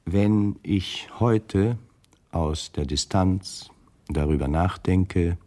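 A man's voice: sits low, a little mellow, slightly rough, heavily acted. An actor playing a male Nazi camp commandant in a German radio drama, formal, officious and self-justifying.

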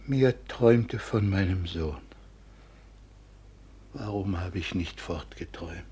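Slow, frail, grieving old nobleman. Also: sits low, mellow, a little hiss or hum. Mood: weak, mournful. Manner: feeble, halting, lamenting. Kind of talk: classic German radio drama acting.

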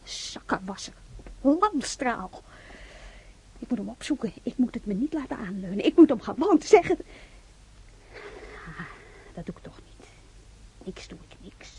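A woman's voice: fairly high pitch, big pitch swings, unhurried, pauses often, a little mellow, slightly rough, heavily acted, poor audio. An actress playing a bitter young woman patient who scolds herself in a muttered inner monologue in an old radio play. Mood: bitter, sulking. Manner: bitter muttering, flaring up then whispering. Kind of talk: 1960s Dutch radio drama inner monologue.

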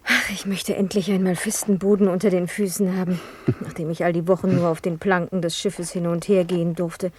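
An actress playing a spirited, naive young gentlewoman, confident and eager for adventure, in a seafaring radio play. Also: lowish voice, little pitch movement, a little fast, slight background noise, lightly acted. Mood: eager, carefree. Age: young adult. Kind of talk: 1970s German radio play acting.

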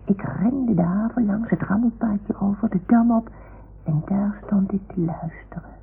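A woman playing a small, timid toy dog who tells stories in a childlike, hushed way in a radio play. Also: mid-range voice, a little slow. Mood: hushed, excited. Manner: childlike, breathy hushed storytelling. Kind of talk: 1960s-70s radio play acting. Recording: muffled, hissy old radio recording.

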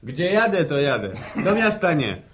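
A cocky country motorcyclist in a radio serial. His fairly high voice swings widely through sarcastic jibes in rural Polish dialect. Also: big pitch swings, moderate pace, background noise. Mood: dismissive. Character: cocky, mocking young village motorcyclist, gruff and teasing. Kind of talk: radio drama acting in village dialect.

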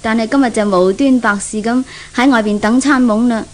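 A woman's voice: a little high, brisk, lightly acted. A woman acting an outspoken, gossipy maidservant in a 1970s Cantonese radio drama, frank and grumbling, with a Hong Kong Cantonese accent. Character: outspoken, gossipy maidservant who grumbles about her mistress.

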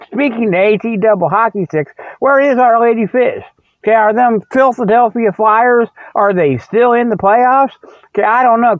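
Tinny phone-quality radio, american guy with a high voice, folksy rambling comedy host who keeps losing his thread. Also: no room sound. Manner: folksy, digressive, repetitive, loses his thread. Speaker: solo show host. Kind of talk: rambling comic monologue with mock sales pitches. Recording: muffled, thin phone-like radio sound.

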